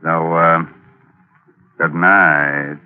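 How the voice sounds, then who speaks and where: An actor playing a mocking, darkly playful horror host on 1940s radio, teasing and sardonic. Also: male voice, deep voice, steady tone, extremely slow, clean tone. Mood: gleefully macabre. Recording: dull 1940s radio recording. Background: music.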